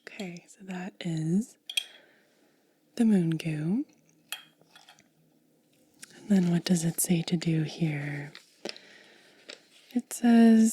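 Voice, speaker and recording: A woman doing soft-spoken ASMR, mostly whispering in a gentle, breathy, casual way as she narrates a hands-on demonstration close to the mic. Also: mid-range voice, dry recording. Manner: gentle, unhurried, casual commentary. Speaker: ASMR video creator and host. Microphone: close mic, dry.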